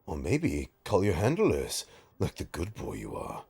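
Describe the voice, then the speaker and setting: A man playing a bitter, taunting supervillain in an audio roleplay, with a raspy, gravelly voice and a sardonic, theatrical, halting delivery. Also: deep voice, wildly swooping pitch, background noise, full character voice. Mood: sneering, irritated. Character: menacing, taunting male supervillain, bitter, grudgingly rehabilitating. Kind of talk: scripted audio roleplay drama.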